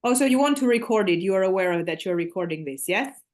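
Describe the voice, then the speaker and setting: A woman's voice: mid-range voice, lively intonation, quick pace, a little mellow. A woman from Armenia with an Armenian accent, an English teacher chatting over a video call and explaining at length with fillers. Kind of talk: casual video call conversation.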